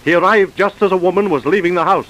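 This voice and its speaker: A man's voice: high voice, quick pace, a bit bright, poor audio. A man narrating an old-time radio drama from a script.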